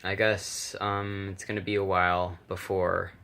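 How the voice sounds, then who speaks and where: A man's voice: sits low, flat delivery, unhurried, gravelly, pushed and bright. Hesitant man rambling over noisy recording. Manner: hesitant, rambling, self-correcting. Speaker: hobbyist vlogger. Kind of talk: casual, unscripted repair walkthrough. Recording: noisy handheld camera recording, clicks.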